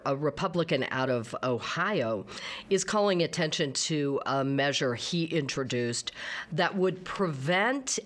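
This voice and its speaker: A woman hosting a talk show, introducing stories and questioning her guest in a steady, emphatic way. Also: deep voice.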